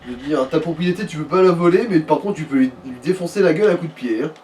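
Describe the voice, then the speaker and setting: A man's voice: fairly high pitch, quick pace, a little mellow. A man chatting casually as a co-commentator, explaining things in colloquial, informal speech. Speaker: let's play co-commentator. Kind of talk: casual chat. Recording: distant mic, faint room noise.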